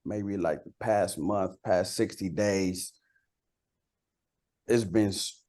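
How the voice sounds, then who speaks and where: Low-voiced man chatting casually. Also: darkish tone. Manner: slangy, halting. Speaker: rapper being interviewed. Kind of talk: casual chat.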